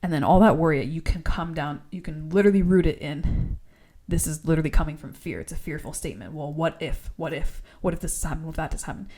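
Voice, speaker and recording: A woman's voice: deep voice, fast talker, few pauses, warm tone. A young woman co-hosting a faith-based podcast, talking earnestly and rambling, often laughing and saying "like". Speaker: podcast co-host.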